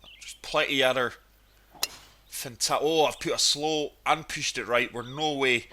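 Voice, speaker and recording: Bright-voiced man with a cold. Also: medium pitch, fairly even intonation, unhurried, slight background noise. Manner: chatty, enthusiastic golf play-by-play. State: has a cold, sniffly. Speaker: gaming channel host. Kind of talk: gameplay commentary.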